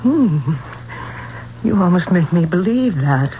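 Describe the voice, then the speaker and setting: An actress playing a gracious, curious, self-possessed singer in a 1970s radio drama, speaking in a polished, expressive way. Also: female voice, fairly low pitch, slow pace. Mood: intrigued. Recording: dull, noisy 1970s radio recording.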